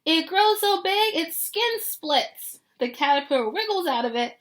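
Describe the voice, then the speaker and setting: A woman teacher reading a picture book aloud to young children in an animated way, often putting questions straight to them. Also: very high voice, unhurried.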